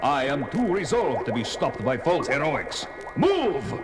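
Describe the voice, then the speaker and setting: An actor playing a scheming aristocratic vampire count, theatrical, sneering and imperious. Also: male voice, mid-range voice, very animated, a little slow. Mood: menacing. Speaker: villain. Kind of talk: 1970s record-album audio drama. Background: dramatic music score.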